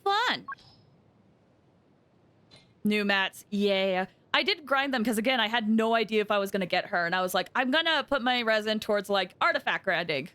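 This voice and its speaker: A woman game streamer chatting casually and excitably, thinking aloud with frequent exclamations as she plays. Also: mid-range voice, moderate pace. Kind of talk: casual livestream gaming chat.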